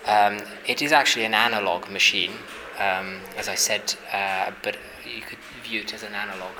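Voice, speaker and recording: A man from Hungary speaks in a low, flat voice and talks about his work, pausing often and restarting his sentences. Steady noise from the gallery space stays under him throughout. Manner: thoughtful, halting, with many fillers and restarts. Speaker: artist. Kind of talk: exhibition interview. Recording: noisy ambience at an exhibition.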